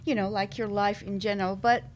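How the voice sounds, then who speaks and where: A woman from Syria, in her thirties, answering interview questions thoughtfully, with many pauses and fillers. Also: medium pitch, fairly even intonation, slight background noise. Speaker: podcast guest.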